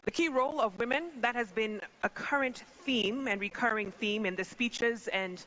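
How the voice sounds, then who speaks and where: A woman's voice: on the high side, moderate pace, fairly bright tone, muffled recording. A woman moderating a formal panel, addressing dignitaries in a polished manner. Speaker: event moderator. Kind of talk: formal event hosting.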